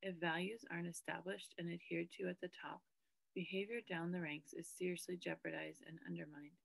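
Woman, thirties, scratchy morning rasp, low pitch, reads a book aloud at a home webcam. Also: fairly quick, no echo. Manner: steady read-aloud pace, frequent throat clearing. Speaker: book study reader. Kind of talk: reading a book aloud to a study group. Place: small bedroom indoors. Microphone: webcam at desk.

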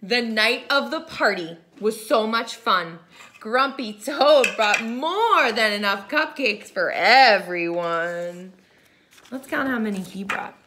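A woman in her thirties reading a picture book aloud to children in an animated, expressive way, with exaggerated delivery and hushed asides. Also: fairly high pitch, takes it slowly, a little hiss or hum. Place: home kitchen.

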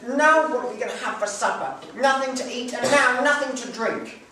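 Shrill scolding mother in a fairy tale, put on by a male narrator, high voice, distant in a booming room. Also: moderate pace, sharp tone, a little hiss or hum. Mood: dismayed. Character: flustered scolding poor mother, woman. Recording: very echoey room, off-mic.

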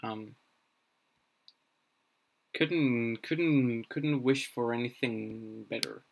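A young man, a native Danish speaker, talks slowly and unhurriedly on a live stream, at an ordinary mid pitch. He thinks aloud, halting often with fillers and restarts. He is recorded at a desk in a small room. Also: vocal fry, a little hiss or hum. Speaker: streamer building a constructed language.

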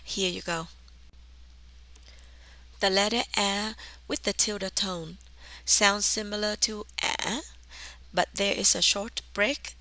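A woman with a southern Vietnamese accent narrating a language lesson as the teacher, enunciating deliberately and carefully. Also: mid-range voice, fairly even intonation, pushed and bright.

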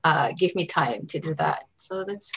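A woman's voice: low voice, a little slow, creaky, fairly bright tone, breathy edge. A young woman from Indonesia, a graduate student, answering earnestly on a video call through a headset. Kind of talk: panel interview answers.

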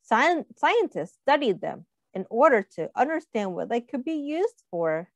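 Slow, lively woman reading to kids. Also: fairly high pitch, no room sound. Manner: animated, asks children questions, does little character voices. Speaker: teacher reading aloud. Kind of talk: children's picture-book read-aloud.

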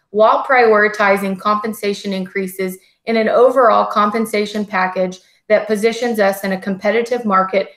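A woman presenting a school district budget report on a video call in a steady, formal, scripted way. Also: mid-range voice. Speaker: school district finance administrator. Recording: echoey video call audio.

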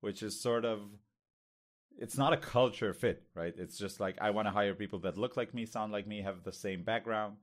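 A man with an Israeli accent, a Hebrew native speaker, talking candidly on a podcast, thinking aloud with many pauses and fillers. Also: lowish voice. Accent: Israeli, lighter than most. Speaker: podcast co-host. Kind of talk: casual conversation.

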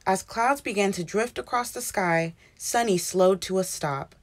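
A woman reading a children's picture book aloud as an expressive, animated storyteller. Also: medium pitch, unhurried. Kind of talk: expressive scripted read-aloud narration.

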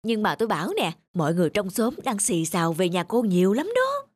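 A woman voicing a gossipy, meddling older village woman in an animated cartoon, with a nosy, exclamatory manner. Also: mid-range voice, a little fast, breathy edge.

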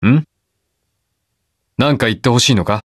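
An actor playing a stubborn, protective young masked hero in a video game, speaking curtly and brusquely. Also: male voice, medium pitch, moderate pace, no room sound, clean studio sound, close to an everyday voice. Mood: grumbling, casual. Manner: curt, brusque, rough casual speech. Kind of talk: video game voice lines, battle quips.

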